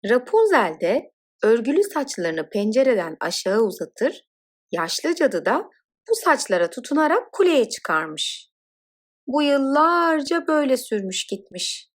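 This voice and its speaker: A woman narrating a scripted children's fairy tale in an expressive, dramatic storytelling manner. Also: high voice, unhurried.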